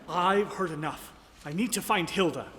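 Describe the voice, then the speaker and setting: A man's voice: high voice, normal speed, fairly bright tone, a little hiss or hum. A man playing an earnest, suspicious young adventurer, making dramatic declarations in a live radio melodrama. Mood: urgent. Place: live stage in a ballroom. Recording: reverberant live hall.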